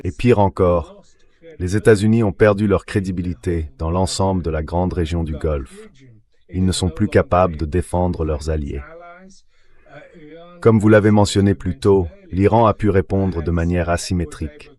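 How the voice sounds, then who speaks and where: A man giving measured, formal geopolitical analysis as an expert guest in a dubbed interview. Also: sits low, fairly even intonation, dark tone.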